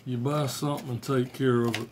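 A man's voice: medium pitch, fairly even intonation, fairly quick, a little hiss or hum. A middle-aged man rambling casually and bluntly about his cassette collection, swearing freely, filmed on a phone at arm's length in a small room. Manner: blunt, profane, offhand. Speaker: music collector vlogger. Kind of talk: casual rambling show-and-tell. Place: small bedroom indoors. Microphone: phone camera at arm's length.

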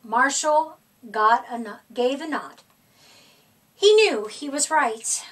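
High, animated woman reading a picture book aloud. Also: slow pace, recorded from a distance. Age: middle-aged. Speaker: storyteller. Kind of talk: expressive read-aloud of a children's picture book. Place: small indoor room with decorated wall.

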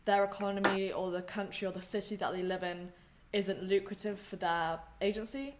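A young woman hosting an interview over a video call, asking long, rambling questions. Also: fairly low pitch. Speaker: host. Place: room at home. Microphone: headphones on video call.

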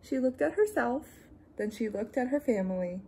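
Young female teacher for kids' read-aloud. Lively, higher-pitched yet soft-toned delivery, playful asides, indoor room. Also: a little hiss or hum, poor audio. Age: young adult. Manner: expressive, deliberate, with playful asides to young listeners. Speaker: teacher reading a children's picture book.

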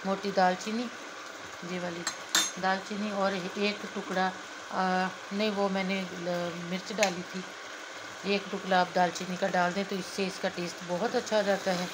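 A woman's voice: medium pitch, noisy background. A woman, chatting viewers through cooking a dish in her home kitchen, recorded on a phone held over the pot. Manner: chatty, talks viewers through each step. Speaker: cooking channel host. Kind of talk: casual cooking vlog narration. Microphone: phone camera held over the pot.

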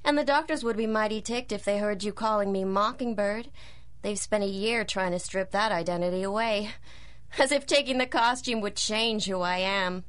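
A woman's voice: medium pitch, moderate pace, a bit bright, clean tone, lightly acted. An actress playing a cynical, mocking female supervillain inmate in a 1930s-style radio drama. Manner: sneering, sardonic, tough.

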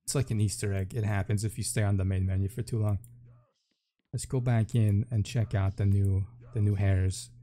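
Guy doing offhand game commentary, kinda low mellow voice, just thinking out loud. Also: steady tone, moderate pace. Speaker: gaming YouTuber. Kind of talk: casual first-look game commentary.

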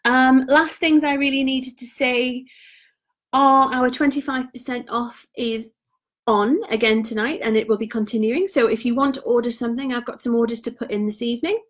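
A woman's voice: on the high side, fairly even intonation, normal speed. Chatty woman selling beauty gifts. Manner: chatty and informal, trails off quietly. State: voice going hoarse. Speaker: beauty product consultant. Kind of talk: casual live-stream sales chat.

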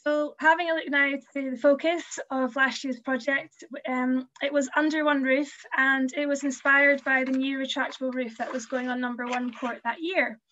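A woman's voice: high-pitched, flat delivery, normal speed, hardly any pauses, pushed and bright. A young woman giving a conference presentation, earnest and explanatory with frequent ums, her voice a little worn. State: losing her voice. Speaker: museum community learning officer. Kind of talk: conference presentation over slides. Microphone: video call webcam. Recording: dull lo-fi video call audio.

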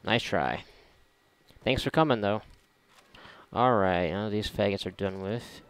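A man's voice: fairly low pitch, unhurried, clean tone. A man giving casual, sarcastic, profanity-laced gameplay commentary, often muttering to himself. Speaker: gamer doing a playthrough.

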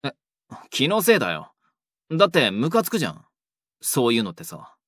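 A man's voice: medium pitch, huge pitch swings, brisk, a bit bright, no room sound, studio quality. A man voicing a young delinquent who is defensive and easily flustered, in an audio drama. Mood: irritated. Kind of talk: Japanese boys' audio drama.

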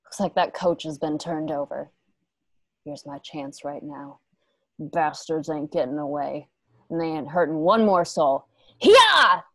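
A woman's voice: low-pitched, lively intonation, measured pace, fairly bright tone, no room sound, exaggerated voice. An actress playing a resolute, vengeful woman rider in a Western radio drama, speaking tersely in rustic phrasing. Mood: fierce. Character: vengeful, resolute young gunslinging woman rider named Mia. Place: home, remote video call.

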